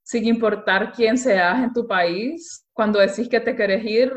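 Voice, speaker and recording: A young woman with a Honduran Spanish accent, talking in a chatty, animated way and often checking that she is understood. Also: on the high side, unhurried. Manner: chatty, animated, frequent "me entendés" tags. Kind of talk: casual conversation on social issues.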